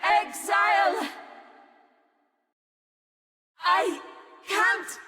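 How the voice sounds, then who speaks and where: A woman playing a solemn, zealous nature priestess in a fantasy video game, speaking in a formal, deliberate, theatrical way. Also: extremely high pitch, pushed and bright, dry recording, studio quality, faint music behind, exaggerated voice. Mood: anguished.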